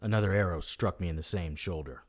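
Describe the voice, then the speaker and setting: Low-voiced, wisecracking hardboiled detective. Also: male voice, fast. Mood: wry narration. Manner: sarcastic, defiant. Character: cocky, wisecracking hardboiled male supernatural detective. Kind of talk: noir audio drama with first-person narration.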